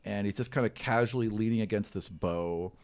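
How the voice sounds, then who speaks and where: A man's voice: fairly low pitch, brisk, a little mellow. A man narrating a tabletop game as game master, improvising in a conversational, enthusiastic way.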